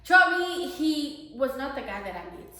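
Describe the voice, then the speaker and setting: South african lady hosting a chat, kinda high voice, laughs a lot, super echoey room. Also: moderate pace. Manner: expressive, laughs often, mixes in isiXhosa words. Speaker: host. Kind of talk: casual chat.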